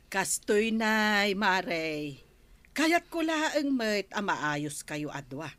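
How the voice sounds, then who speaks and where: A woman's voice: mid-range voice, big pitch swings, drawn-out words, fairly bright tone, no room sound, exaggerated voice. A woman playing a village peacemaker with a fiery temper in an Ilocano Filipino comedy drama, chiding and emphatic. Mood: placating.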